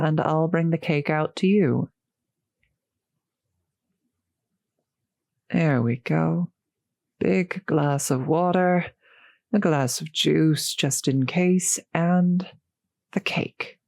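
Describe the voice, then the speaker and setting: Slow, genteel female vampire, acted by a woman. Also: deep voice, gravelly, a little mellow, dry recording, clean studio sound, full character voice. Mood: flirtatious, tender. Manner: polite, formal, old-fashioned phrasing, solicitous. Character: courteous, genteel female vampire, gently seductive host. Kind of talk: intimate audio roleplay addressed to the listener.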